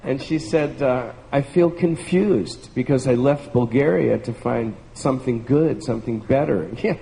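Male participant, dark gravelly tone, lively anecdote delivery, distant roomy sound. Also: mid-range voice, a little slow. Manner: enthusiastic storyteller, laughs between phrases. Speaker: group participant. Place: large room with a group. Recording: distant echoey hall, faint hiss.

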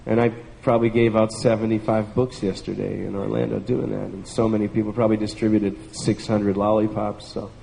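A man's voice: on the low side, level tone, brisk, vocal fry, few pauses, warm tone. A man in a group telling an enthusiastic personal story and laughing between phrases. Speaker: group participant. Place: large room with a group. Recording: distant echoey hall, faint hiss.

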